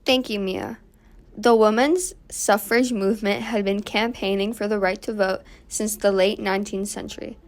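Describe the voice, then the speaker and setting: A woman's voice: mid-range voice, steady tone, normal speed, close to an everyday voice. A woman playing an earnest suffragist guest on a 1920s radio show, explaining things from a script. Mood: earnest, pleased. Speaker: guest activist.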